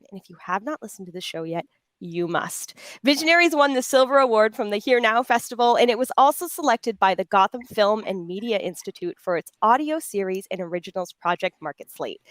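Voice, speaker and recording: A woman's voice: on the high side, a bit bright, dry recording. A woman hosting a panel, chatty and effusive, with emphatic delivery as she addresses guests and audience. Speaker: panel host and audio drama voice actor. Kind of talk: hosting a pre-recorded panel, introducing guests.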